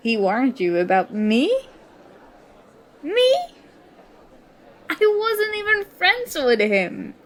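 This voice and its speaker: Casting: female performer as sarcastic college girl in an audio roleplay, highish voice, unhurried delivery, confrontational and wounded pride. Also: very animated, exaggerated voice. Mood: baffled, defensive. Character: indignant young woman student, sarcastic former school enemy. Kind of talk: ASMR roleplay acting, one side of a dialogue.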